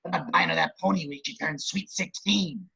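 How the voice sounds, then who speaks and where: A man playing a crude, lecherous, scheming villain running for mayor, with a very raspy voice and wisecracking delivery, in an amateur script read. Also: fairly high pitch, moderate pace, sharp tone, heavily acted. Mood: indignant. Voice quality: very raspy, gravelly. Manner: leering, wisecracking.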